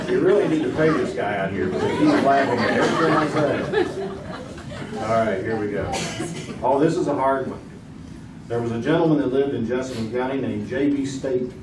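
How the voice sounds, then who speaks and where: Man as a corny 1950s game show host, mid-pitched, jokey, distant roomy sound. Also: sing-song, a little slow, pauses often, over a noisy crowd. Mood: playful. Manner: jokey, teasing, folksy. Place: small theater stage. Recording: echoey stage audio from far off, hiss.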